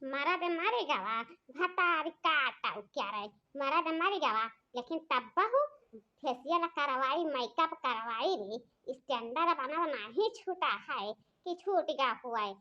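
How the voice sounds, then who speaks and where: A woman's voice: high-pitched, lively intonation, slow pace. A woman voicing a taunting, scolding village woman in a comic cartoon, with an Awadhi accent. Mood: mocking. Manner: mocking, scolding. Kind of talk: rustic comic cartoon dialogue. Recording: slightly dull, lo-fi sound.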